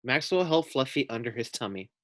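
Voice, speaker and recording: A man reading a children's storybook aloud as a teacher, with deliberate, clear phrasing. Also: mid-range voice, lively intonation, a bit bright, dry recording, clean studio sound.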